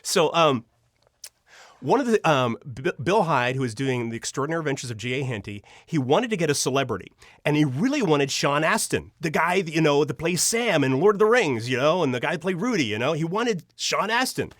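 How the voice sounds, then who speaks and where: Animated, enthusiastic male storyteller. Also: on the high side, brisk, no echo, studio quality. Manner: enthusiastic, gushing, acts out quoted dialogue. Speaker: interview guest, audio drama writer and director.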